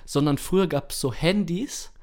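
A man hosting a podcast in casual chat, informal and often halting to correct himself mid-sentence. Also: a little high. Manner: informal, halting, self-correcting with repeated false starts. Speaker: podcast host.

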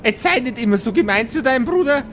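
A man's voice: very high voice, animated, fast talker, fairly bright tone, slight background noise, voice pushed up. A man voicing a doting, scolding dwarf mother with a folksy Bavarian accent in an improvised audio roleplay.

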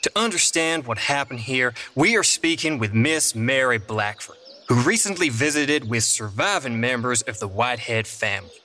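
A man's voice: mid-range voice, animated, normal speed, bright, forceful tone. An actor playing an outraged, melodramatic 1830s Virginia newsman in a dramatized report. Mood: grave outrage. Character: indignant 1830s male news reporter in Virginia. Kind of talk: dramatized news report and interview.

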